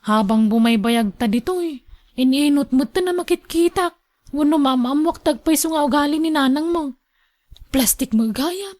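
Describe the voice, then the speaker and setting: A woman playing a resentful young wife in a radio drama, complaining and sharp-tongued, with an Ilocano Filipino accent. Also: high-pitched, normal speed, lightly acted. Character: resentful young wife feuding with her mother-in-law.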